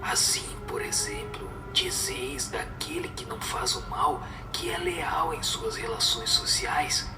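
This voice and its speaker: A man voicing a solemn spirit who whispers moral admonitions in a breathy, slow, radio drama delivery. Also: sits high, wildly swooping pitch, roomy sound, over music, heavily acted. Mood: grave, admonishing.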